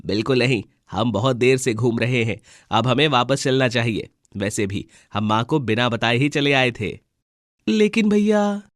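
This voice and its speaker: A man's voice: medium pitch, expressive, normal speed, dry recording, clean studio sound, natural voice. A man voicing young brother birds in a children's cartoon, emphatic and boyish. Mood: bored, insistent. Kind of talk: Hindi children's cartoon dialogue.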